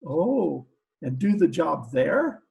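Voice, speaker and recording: Man playing gravelly, lovestruck gangster hitman. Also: on the high side, sing-song, slow pace, a little mellow, heavily acted. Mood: eager, murderous. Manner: slangy, uneducated tough-guy talk. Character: lovestruck, dim, trigger-happy male gangster hitman. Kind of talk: 1940s radio comedy acting. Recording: home video-call recording.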